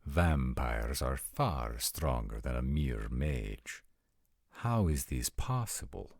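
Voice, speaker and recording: An extremely low-voiced, urbane vampire acted by a man for a fantasy audio roleplay. He speaks formally and unhurriedly, with wide swoops of intonation and a gravelly rasp. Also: very animated, darkish tone, no room sound, clean studio sound, exaggerated voice. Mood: stern, formal. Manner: formal, measured, articulate. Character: arrogant, urbane male vampires, courteous and formal.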